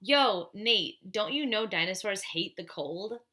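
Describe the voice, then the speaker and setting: A woman's voice: medium pitch, lively intonation, unhurried, background noise, lightly acted. A woman voicing a laid-back, cheeky boy sleuth in a children's storybook, with a casual, breezy manner. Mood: teasing.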